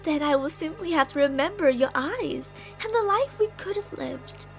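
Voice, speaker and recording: A woman's voice: high-pitched, lively intonation, normal speed, creaky, faint music behind. A woman voicing a possessive, delusional lamia monster in an ASMR roleplay, speaking in a haughty, theatrical, sweetly gaslighting way. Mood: coaxing, threatening.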